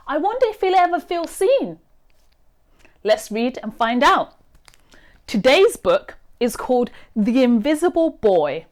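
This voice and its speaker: Slow, animated woman reading to children. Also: a little high. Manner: expressive, addressing young children directly. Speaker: host of a children's read-aloud channel. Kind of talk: children's storybook read-aloud.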